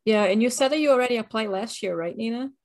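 A woman's voice: a little high, quick pace, a little mellow. A woman from Brazil co-hosting a casual podcast, explaining things with a wry, joking, chatty manner. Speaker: podcast co-host. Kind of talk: casual chat.

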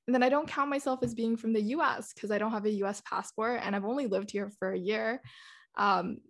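A woman's voice: fairly high pitch, fairly even intonation, a little fast. A young woman from Singapore talks in a loose, chatty way. She sounds a little self-conscious and fills her sentences with filler words. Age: young adult. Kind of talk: casual chat.

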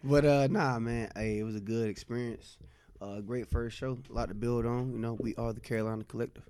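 A man's voice: medium pitch, steady tone, a little fast, a little mellow, clear, resonant tone, dry recording. A man in his twenties, co-hosting a casual group chat and insisting on finishing his point. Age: 20s. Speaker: podcast co-host.

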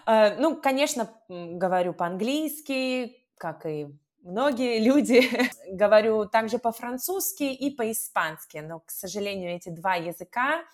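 Young woman, podcast guest, slightly high voice, reflective and hesitant, laughs often. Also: animated, measured pace. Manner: thoughtful, hesitant, laughs easily. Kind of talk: casual conversational interview.

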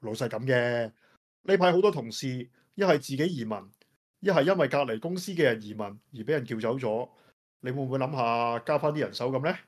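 A man's voice: medium pitch, brisk, lightly acted. A man acting an earnest office employee in a radio drama, with a Hong Kong Cantonese accent. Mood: earnest, persuading. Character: earnest office employee, Stephen, pleading for more staff. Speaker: employee pressing his boss.

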